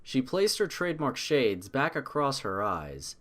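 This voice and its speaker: A story narrator with a very animated delivery, reading scripted prose. The intonation rises and falls widely from phrase to phrase. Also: medium pitch, unhurried.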